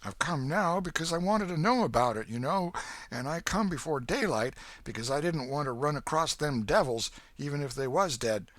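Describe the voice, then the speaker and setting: An anxious young vagabond boy from rural America, as acted by a male audiobook reader. The voice sits high and moves in animated swings over halting, ungrammatical country talk. The recording is dry and close. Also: dry recording. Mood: nervous. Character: frightened, ragged vagabond boy, humble and uneducated. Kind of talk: audiobook character voice.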